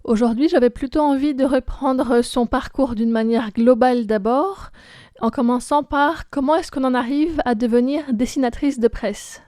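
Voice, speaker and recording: A woman hosts a radio interview, her voice a little high. She asks her questions in a clear, measured way. The sound is dry and close, like a studio mic. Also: mellow, dry recording. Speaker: radio host.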